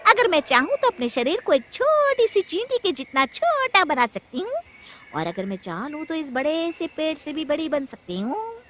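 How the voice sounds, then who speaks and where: A woman's voice: high voice, sing-song, moderate pace, background noise, voice pushed up. A woman voicing a boastful, gullible forest witch with a cackle, for a comic spooky storybook tale. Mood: boastful, cackling. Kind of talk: Hindi horror-comedy storybook acting.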